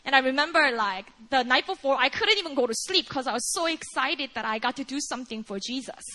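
A woman's voice: high voice, animated, brisk, pushed and bright. A young Korean woman with a Korean accent, preaching earnestly and telling a personal story, with "like" and "you know" throughout.

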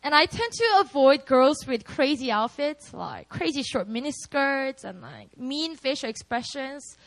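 A woman's voice: sits high, lively intonation, unhurried, poor audio. A young woman with a Korean accent preaching at a prayer meeting, candid and chatty, often laughing at herself.

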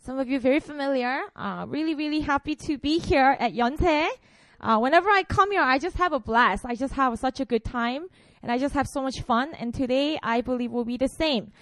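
A woman's voice: high-pitched, a little fast, a bit bright, no echo. A woman in her twenties from Korea, a pastor preaching a casual, playful sermon to students. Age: 20s. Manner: chatty and playful, frequent fillers.

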